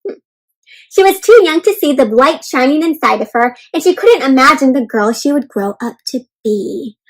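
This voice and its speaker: A woman reading a children's storybook aloud interactively, expressive and encouraging like a teacher, often turning to ask her young listeners questions. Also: high-pitched, normal speed, poor audio. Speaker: children's read-aloud host.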